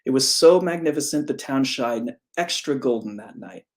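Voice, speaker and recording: Medium-pitched man reading his fairy tale. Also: expressive, moderate pace. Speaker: author reading own story. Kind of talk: storybook narration read aloud.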